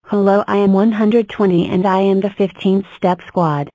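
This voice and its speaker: A woman's voice: medium pitch, steady tone, moderate pace, dark tone, no room sound, close to an everyday voice, poor audio. Stiff, dry-sounding female cartoon number block, voiced by a woman. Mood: earnest. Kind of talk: scripted children's counting cartoon.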